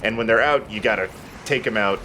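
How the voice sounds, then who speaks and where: A man with a low-pitched voice hosts a let's play. He talks casually and conversationally about the game as he plays. Faint game noise runs behind his voice. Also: brisk, pushed and bright. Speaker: let's play host and player. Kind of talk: casual gameplay commentary.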